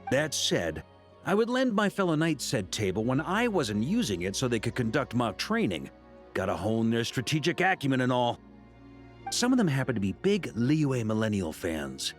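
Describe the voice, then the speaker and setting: A man acts out a seasoned, hard-drinking grandmaster of knights in a fantasy video game. He is talkative and good-humored, with a mid-pitched male voice that rises and falls very freely. Faint music plays behind him. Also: close to an everyday voice. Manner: chatty, theatrical, good-humored. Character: jovial, talkative middle-aged knight grandmaster and veteran drinker. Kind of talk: scripted game character dialogue.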